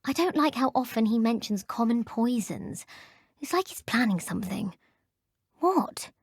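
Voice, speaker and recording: Slightly husky, candid schoolgirl witch. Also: fairly high pitch, very animated, unhurried, no room sound, studio quality, exaggerated voice. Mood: opinionated. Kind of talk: video game character dialogue.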